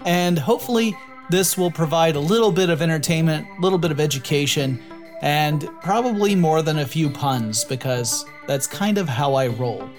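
A man's voice: on the high side, moderate pace, faint music behind. An American man hosting a podcast in a chatty, wordy, conversational way with a playfully grand turn of phrase. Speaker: podcast host.

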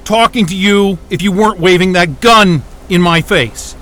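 An actor in an audio drama playing a frightened but defiant captive scientist, stammering, agitated and foul-mouthed. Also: male voice, sits high, moderate pace, a bit bright. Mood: nervous, pleading.

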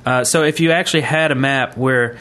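A man's voice: medium pitch, fairly quick, pushed and bright. A man hosting a casual gaming podcast, explaining things in an informal, rambling way. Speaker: podcast host.

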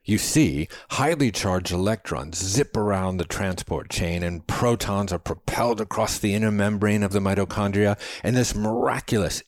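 A man's voice: fairly low pitch, darkish tone, dry recording, studio quality, voice pushed up. A man voicing a feisty, philosophical old man in an audiobook, lecturing with precise, scholarly diction. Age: older. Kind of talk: audiobook character dialogue.

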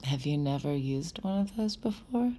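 An actress playing a tipsy, smitten woman on a first date in an intimate audio drama, flirty and nervous, with a breathy, creaky voice. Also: low-pitched, animated, normal speed, mellow. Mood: shy, flustered. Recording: music behind the voice. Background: music.

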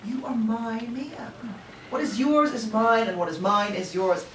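A woman's voice: on the high side, lightly acted. A woman playing a doting, lovestruck spouse in an audio drama, speaking tenderly and insistently with effusive endearments. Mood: agitated, pleading. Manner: slow, repetitive, effusive endearments. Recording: roomy, distant, noisy audio play recording.

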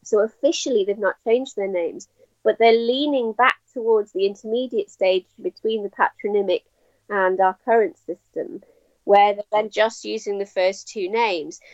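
A woman's voice: mid-range voice, dry recording. Woman explaining, dull dry audio. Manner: measured, thoughtful, with frequent ums and restarts. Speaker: guest academic on names. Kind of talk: explanatory interview talk.

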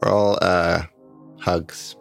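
A man's voice: sits low, level tone, extremely slow, creaky, soft background music. A man at a tabletop game, casually narrating his character's actions in the third person.

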